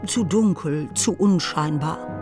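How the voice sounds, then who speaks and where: A woman narrating a German literary radio play from a script, in a wry, expressive manner. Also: low-pitched, slow pace. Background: music.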